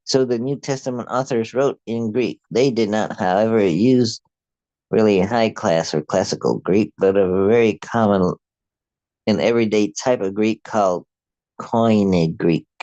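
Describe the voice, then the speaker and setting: A man with an Afrikaans accent, a native Afrikaans speaker, reading a prepared commentary aloud in a measured, halting way. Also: lowish voice, warm tone, no room sound, highs cut off. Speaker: video host.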